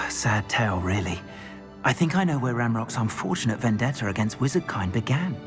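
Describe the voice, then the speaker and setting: An actor playing an anxious, earnest older wizard, a metal trader in a video game. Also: male voice, mid-range voice, moderate pace, close to an everyday voice. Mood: rueful, reflective. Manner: earnest, expressive, rueful. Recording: game music behind the voice.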